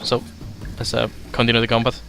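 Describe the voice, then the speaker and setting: Bright-voiced man from Finland streaming a game. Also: mid-range voice, completely flat delivery, creaky. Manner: chatty, halting, many fillers, reads game text aloud. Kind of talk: casual let's play commentary.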